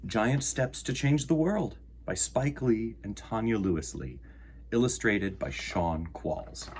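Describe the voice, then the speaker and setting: Low-voiced man reading a storybook aloud. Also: lively intonation, slow, a little mellow, a little hiss or hum. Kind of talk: children's picture book read-aloud with chatty introduction.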